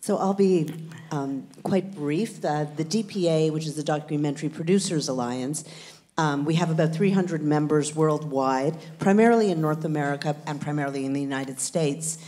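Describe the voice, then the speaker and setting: A middle-aged woman on a theater stage, explaining her producers' group to a conference audience in a measured, matter-of-fact way. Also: low voice, dark tone. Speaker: panelist, producers' group board member. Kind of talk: conference talk. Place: large dark theater stage. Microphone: handheld microphone.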